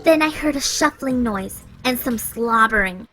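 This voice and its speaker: A woman reading a children's picture book aloud, playful and expressive. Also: on the high side, measured pace, a little hiss or hum, rough recording. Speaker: children's story reader. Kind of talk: read-aloud storytelling.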